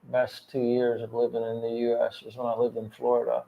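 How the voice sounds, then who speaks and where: A man's voice: medium pitch, monotone, fairly quick, vocal fry, highs cut off, a little hiss or hum. A man in his fifties from Pennsylvania, chatting earnestly and a bit ramblingly on a livestream. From Pennsylvania, USA. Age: 50s. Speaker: livestream co-host. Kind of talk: casual livestream chat.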